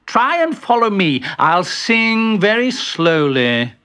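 A storyteller voicing a bossy, excitable young male animal in an eager, exclamatory manner, for a children's story. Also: medium pitch, slow pace, voice pushed up, exaggerated voice.

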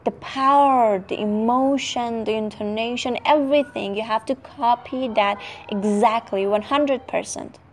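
A woman whose first language is Turkish gives a spoken English lesson, slow and deliberate. Her voice sits fairly high, and she stresses key words and keeps asking "okay?". Faint noise runs underneath. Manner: emphatic, checks in with "okay?" often. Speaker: English teacher.

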